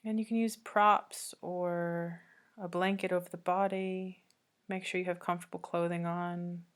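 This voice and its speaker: Woman, low-pitched, very even intonation, calm meditation guide, gentle yoga teacher, long soothing pauses.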